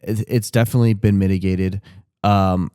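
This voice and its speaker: A man's voice: lowish voice, fairly even intonation, moderate pace, dark tone, clear, resonant tone, no room sound, studio quality. A man in his thirties talking casually on a podcast, candid and rambling, with restarts and casual swearing. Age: 30s. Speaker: podcast co-host.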